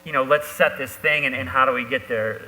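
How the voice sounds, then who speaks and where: A man speaking as an author in a live panel conversation, haltingly, often restarting and repeating his words. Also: mid-range voice, steady tone. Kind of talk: live on-stage conversation about a book. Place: live event hall with an audience. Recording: echoey hall, bright, faint hum.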